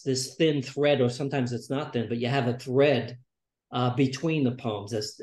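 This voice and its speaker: Guy interviewing a poet, slow and thoughtful, lots of ums, echoey room. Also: mid-range voice, a little mellow. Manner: unhurried, many "uh" and "um" fillers. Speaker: interviewer, poetry journal editor. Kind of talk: casual interview chat. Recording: roomy echo.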